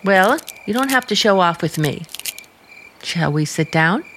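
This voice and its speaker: A woman's voice: on the low side, lively intonation, a little slow, a bit bright, a little hiss or hum. An American actress playing an earnest, restless young small-town woman in a radio drama. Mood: friendly. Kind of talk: old-time radio drama acting.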